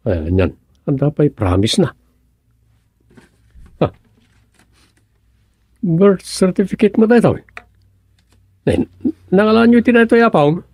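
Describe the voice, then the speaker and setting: A man's voice: sits high, sing-song, slow pace, pauses often, darkish tone, dry recording, exaggerated voice. A man playing a helpful, exasperated village everyman in an Ilocano radio comedy, questioning in a colloquial way. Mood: puzzled.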